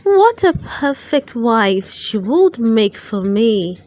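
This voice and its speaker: A woman's voice: on the high side, very animated, slow, a little mellow. A woman voicing a brave, lovesick little toy soldier in a storybook, with a wistful, sighing manner. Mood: longing, sighing.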